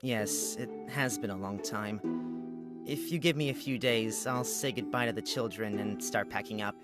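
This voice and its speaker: A woman voicing a gentle, polite eighteen-year-old orphan in a visual novel, speaking earnestly. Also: extremely low pitch, moderate pace, over music, voice pushed down. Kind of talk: visual novel character reading.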